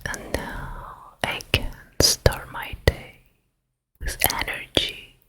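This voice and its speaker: A woman voicing a vain, commanding fairytale queen in a hushed, deliberate whisper for an ASMR roleplay. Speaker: ASMR performer.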